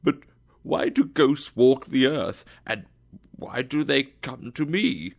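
A man voicing a frightened old miser, raising his pitch to plead and scoff. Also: slow pace, vocal fry.

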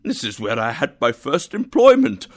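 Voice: mid-range voice, big pitch swings, unhurried, gravelly, breathy edge, heavily acted. Casting: narrator playing an old man in a storybook, full of feeling as he looks back on his life. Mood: amazed. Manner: emotional, exclaiming. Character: miserly old man moved to emotion by memories.

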